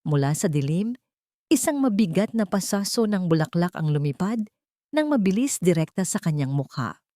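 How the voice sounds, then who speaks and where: A Filipino woman narrates a dramatic audiobook in a fairly low voice. Her intonation moves widely and she sets out the story in deliberate, suspenseful beats. The sound is dry and close, with little room on it. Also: very animated, dark tone, no room sound.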